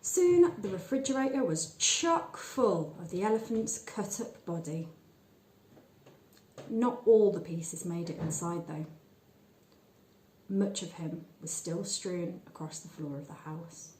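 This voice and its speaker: A woman narrates a short story in a soft, mellow tone. She takes her time, leaving deliberate pauses and shaping phrases expressively. The recording has a noticeable room echo. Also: on the low side, animated, slight background noise. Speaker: story reader.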